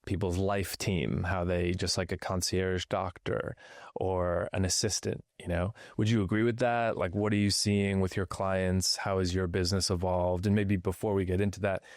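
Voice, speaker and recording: A male podcast host with a low voice asks his guest questions, feeling his way through his phrasing. He often strings several questions together. The sound is dry, with no room echo. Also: flat delivery, moderate pace, a little mellow. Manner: loose, searching phrasing, stacks several questions. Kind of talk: interview questions.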